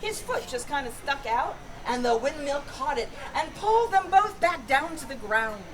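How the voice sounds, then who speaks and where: High-voiced woman storyteller at a noisy fair. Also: very animated. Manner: playful, pauses to invite suggestions. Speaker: storyteller, performer. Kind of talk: improvised interactive fairy-tale storytelling. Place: outdoor Renaissance festival with audience. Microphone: distant camera. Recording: noisy outdoor festival, distant mic.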